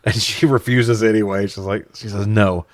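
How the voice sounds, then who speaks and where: A man with a fairly low voice speaks in a very dry, close recording. He recaps a movie casually as a podcast co-host. His delivery is sarcastic, profane and amused. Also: fairly even intonation, normal speed, no echo. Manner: sarcastic, profane, laughs through remarks.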